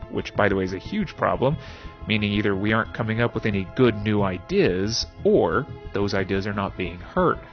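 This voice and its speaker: A man's voice: medium pitch, dull audio. A man hosting a philosophy show, speaking in a video-essay style with casual asides, wry rhetorical questions and theatrical emphasis.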